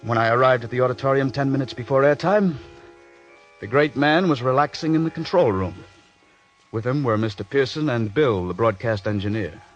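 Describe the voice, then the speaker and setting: A man plays a cold, scheming writer in an old radio crime play. He speaks in a measured, deliberate way, polite on the surface and murderous underneath. Music sits faintly behind him. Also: mid-range voice, highs cut off, natural voice. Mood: cold, calculating. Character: coldly calculating scriptwriter plotting murder, narrating his crime. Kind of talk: 1940s radio acting with first-person narration.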